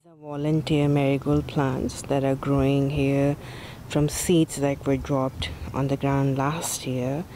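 A woman giving a relaxed, casual tour of her backyard vegetable garden, with soft fillers along the way. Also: rumbling low, slow pace, a little hiss or hum, low-quality recording.

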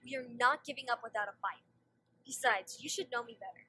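A woman voicing a proud, stubborn young female pony royal in a fan animation, earnest and forceful. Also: a little high, brisk, husky. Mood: determined. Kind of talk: animated fan drama dialogue.

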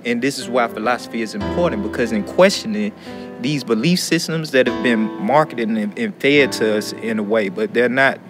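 An American man gives reflective interview answers in a halting, philosophical manner. His pitch is average for a man, and guitar music plays clearly behind him. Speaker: prison education student. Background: acoustic guitar music.

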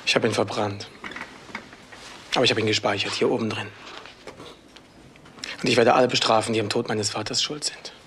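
A man's voice: lowish voice, steady tone, brisk, long pauses, a bit bright, slight background noise, natural voice. A man playing a bitter, accusing young son in a naturalistic radio crime drama.

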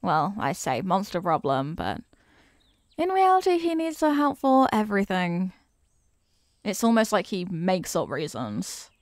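A woman playing a young, eager and kindly apprentice witch in an ASMR roleplay, chatty, teasing and expressive as she talks straight to the listener. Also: mid-range voice, unhurried, dry recording, clean studio sound. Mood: earnest, indignant. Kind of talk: ASMR character roleplay, scripted.

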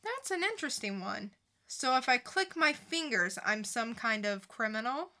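A woman's voice: on the high side, big pitch swings, normal speed, sharp tone, exaggerated voice. A woman playing a defiant, smug young goddess on trial, sardonic and mock-polite, in a dramatic comic book reading.

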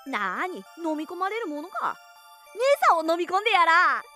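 A woman's voice: very high voice, very animated, normal speed, sharp tone, faint music behind, heavily acted. A woman acting as a mischievous, boisterous little boy in a radio drama, playful and teasing. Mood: defiant, teasing.

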